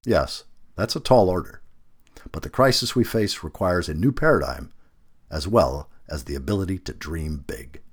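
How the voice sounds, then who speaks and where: Male narrator, deep pitch, animated intonation, scripted essay reading, measured deliberate phrasing. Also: husky. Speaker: narrator reading an article aloud.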